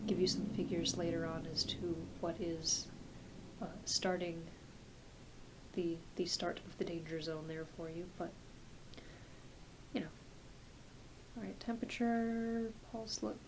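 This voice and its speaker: A woman playing a kind, reassuring doctor in a soft-spoken ASMR roleplay, talking in a gentle, hesitant, conversational way. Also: fairly low pitch, background noise. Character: kind, reassuring doctor who treats werewolves.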